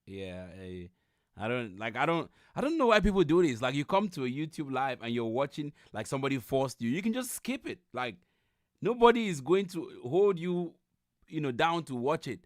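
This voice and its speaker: A man from Cameroon, giving casual, animated live commentary and often repeating himself in disbelief. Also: mid-range voice, moderate pace, no echo, studio quality. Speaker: livestream host reacting to a video.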